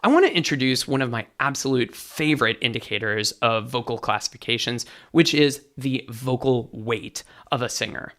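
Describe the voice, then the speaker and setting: Bright-voiced man teaching singing. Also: medium pitch, normal speed, few pauses. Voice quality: light, bright tenor voice. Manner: enthusiastic teaching, sings short demos. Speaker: vocal coach guest. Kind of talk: explaining singing technique.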